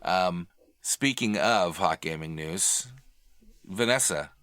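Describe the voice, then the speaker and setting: A man's voice: low-pitched, slow pace, fairly bright tone, smooth, no echo. A man co-hosting a podcast in casual, bantering conversation. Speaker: podcast co-host.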